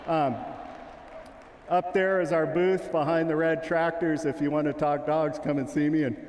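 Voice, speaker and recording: Middle-aged guy teaching dog training in a big indoor arena, bit high voice, jokey and easygoing. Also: fairly even intonation, normal speed, a little mellow, a faint crowd. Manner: casual, joking, calls commands to his dog. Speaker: sheepdog trainer giving a clinic. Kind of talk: live demonstration talk. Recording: echoing arena, long reverb.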